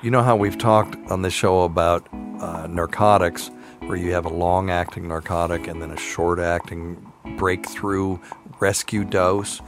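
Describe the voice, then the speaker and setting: A man with a deep voice explains things informally as a podcast host. Guitar music plays clearly behind him. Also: fairly even intonation, a little slow. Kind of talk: casual explanation.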